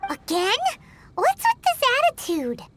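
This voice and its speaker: A woman voicing a small, excitable, fretful fairy companion with a childlike, squeaky voice in a video game. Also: a little slow, very noisy, heavily acted. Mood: exasperated. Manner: refers to self in third person, fretful.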